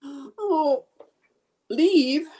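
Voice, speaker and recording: A woman's voice: medium pitch, big pitch swings, slow, darkish tone. A woman playing a scatterbrained, wisecracking 1950s housewife in an old-time radio sitcom, with dry sarcasm and comic exasperation. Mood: tearful, overwhelmed. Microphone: home microphone over video call.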